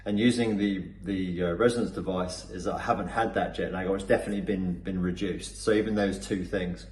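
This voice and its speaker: A man's voice: low voice, steady tone, fairly quick, darkish tone. A man from Cornwall with a Cornish accent, giving an unscripted customer testimonial, hesitant with many fillers and restarts. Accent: Cornish English. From Cornwall, England. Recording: echoey room with faint background noise.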